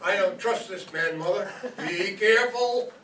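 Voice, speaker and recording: A man's voice: high-pitched, unhurried, sharp tone, slight background noise. A man playing a distrustful, protective grown son, declaiming indignantly in a radio soap opera parody. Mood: suspicious. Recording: echoey stage, far mic.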